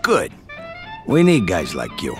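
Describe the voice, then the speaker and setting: A middle-aged gangster in a game cutscene. He is smug and slangy, swinging widely in pitch from a low base, with faint music behind. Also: wildly swooping pitch, slow pace, heavily acted. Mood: condescending, persuasive. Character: swaggering middle-aged male mafia boss's underling recruiting men. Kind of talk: video game cutscene acting.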